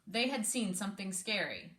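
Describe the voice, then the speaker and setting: A woman reading a children's picture book aloud in an animated, encouraging way, pausing to prompt with questions. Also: medium pitch, a little hiss or hum. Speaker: read-aloud host, speech therapist.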